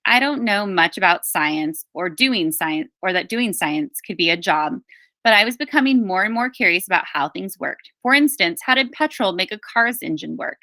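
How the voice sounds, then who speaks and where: A woman reads a story aloud with expressive emphasis. Her voice is bright and forward, at an average pitch. The sound is dry, with very little room on it. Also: moderate pace, no echo. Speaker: teacher reading a book aloud. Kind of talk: scripted audiobook-style narration of a children's memoir.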